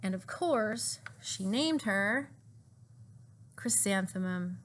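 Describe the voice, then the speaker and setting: A woman reading a children's storybook aloud in an animated, deliberate way for young listeners. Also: mid-range voice, a little hiss or hum.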